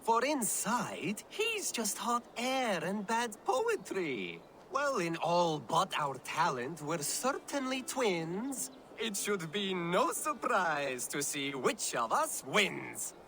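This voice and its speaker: A man's voice: high voice, huge pitch swings, slow, sharp tone, a little hiss or hum, exaggerated voice. A man playing a pompous, bickering Viking poet in a video game, precise and theatrical. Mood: smug.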